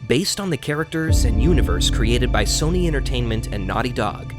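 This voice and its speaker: A man's voice: medium pitch, expressive, brisk, music in the background. A man reading scripted, dramatic introductory narration as an announcer.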